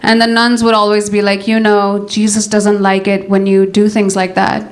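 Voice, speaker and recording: A young adult woman from Nepal doing a stand-up comedy set, with a deadpan delivery that keeps tacking on "you know?" and "all right?". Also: medium pitch, moderate pace. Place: comedy club stage with laughing audience.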